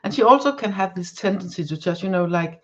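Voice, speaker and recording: A woman's voice: on the low side, expressive, brisk, muffled recording. Woman reading tarot cards. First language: Danish. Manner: searching for words, many restarts and fillers. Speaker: tarot card reader. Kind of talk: live-stream tarot reading.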